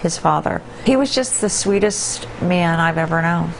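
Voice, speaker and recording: A woman speaks emotionally in an interview. Her voice sits slightly low, over a faint ambient vehicle noise. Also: expressive, normal speed, vocal fry, rough recording. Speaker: bereaved interviewee.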